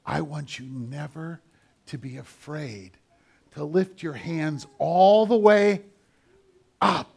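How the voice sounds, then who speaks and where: A man's voice: fairly high pitch, takes it slowly, creaky, long pauses, husky. Older man preacher with a big voice. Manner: big voice, animated storytelling. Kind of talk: sermon with a children's message.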